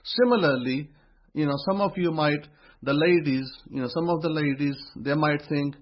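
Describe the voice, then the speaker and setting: Husky-voiced man giving an emphatic talk. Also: a little high, normal speed. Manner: emphatic, repeats phrases while building a point. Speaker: religious teacher. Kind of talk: motivational religious talk. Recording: dull lo-fi recording.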